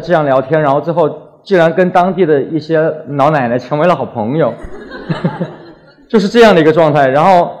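A man's voice: on the high side, a little mellow. A young man from Wuhan giving a casual talk as a graffiti artist, colloquial and full of anecdotes, acting out little exchanges as he goes. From Wuhan, China.

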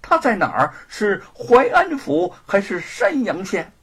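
A man's voice: mid-range voice, wildly swooping pitch, measured pace, gravelly, a bit bright, slightly rough, voice pushed down, exaggerated voice. A man voicing a greedy, easily exasperated prison jailer in a told story, colloquial and prone to stammering. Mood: excited. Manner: colloquial, stammering when flustered, wheedling.

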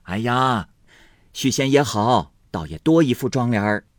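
A man's voice: medium pitch, expressive, unhurried, no room sound, clean studio sound, natural voice. A man voicing an old grandmother matriarch who answers in a cool, dismissive, weary way.

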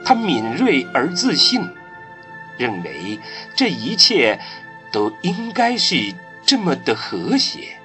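Male drama narrator, solemn, very slow delivery, hugely varied intonation, clear music behind. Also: medium pitch, huge pitch swings, bright, forceful tone.

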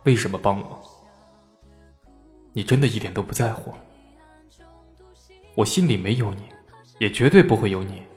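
A man's voice: fairly low pitch, moderate pace, pauses often, a little mellow, close to an everyday voice. A man playing an embittered, lovelorn young noble husband in a Chinese historical audio drama. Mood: cold, hurt. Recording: long reverb, faint music behind.

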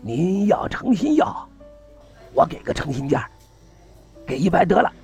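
A man playing a chatty, courteous trader in an audio drama, wheedling in colloquial, drawn-out sales patter with a slightly husky voice. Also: sits high, big pitch swings, darkish tone, soft background music, exaggerated voice. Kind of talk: Chinese audio drama dialogue.

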